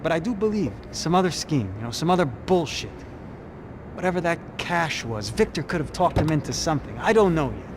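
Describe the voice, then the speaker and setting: An actor playing a cynical police detective in a game, speaking in a sardonic, knowing way. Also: male voice, fairly high pitch, big pitch swings, brisk. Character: sly, cynical Brazilian police detective, man. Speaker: police officer. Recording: game scene with loud street traffic.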